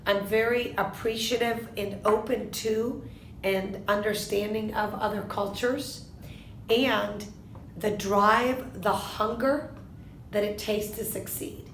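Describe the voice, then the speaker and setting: A woman in her fifties, born in Argentina, answering interview questions in a measured way, often posing a question to herself and then answering it. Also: medium pitch, steady tone, echoey room, a little hiss or hum, rough recording. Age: 50s. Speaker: interviewee, lawyer.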